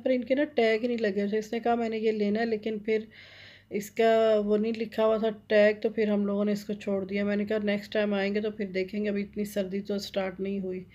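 A woman's voice: mid-range voice, mellow. A middle-aged woman chatting casually as she walks through a large clothing store, gushing over the items and filling her talk with fillers, filmed on a handheld phone. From Pakistan. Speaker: vlogger. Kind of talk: casual shopping vlog narration. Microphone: handheld phone camera. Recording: dull lo-fi phone audio.

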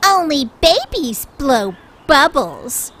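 A woman's voice: high-pitched, sing-song, takes it slowly, fairly bright tone, a little hiss or hum, voice pushed up, heavily acted. A woman voicing a female badger, a neighborhood bully from a children's storybook, in a theatrical, exaggerated way. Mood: bullying, startled.

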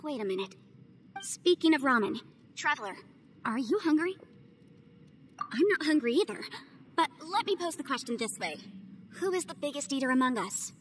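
A formal, precise young adviser. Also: female voice, high voice, sing-song, moderate pace, long pauses, slight background noise. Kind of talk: video game cutscene voice acting.

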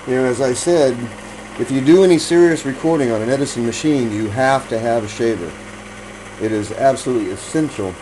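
A man giving a casual, unhurried hands-on demonstration, explaining each step as he works. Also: medium pitch. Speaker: hobbyist demonstrator, host. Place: indoor hobby room. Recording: noisy room, machine noise.

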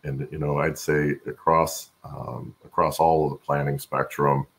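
Very deep, gravelly-voiced man. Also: level tone, a little slow. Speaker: guest, supply chain expert and voice-over announcer. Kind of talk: conversational interview answers. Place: home room, on a video call.

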